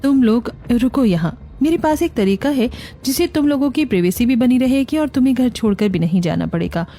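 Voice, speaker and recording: A woman's voice: a little high, expressive, fairly quick, warm tone. A woman with an Indian accent voicing a patient, practical eldest daughter-in-law who keeps the peace in a village family cartoon. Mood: confident, practical. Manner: soothing, reassuring, practical.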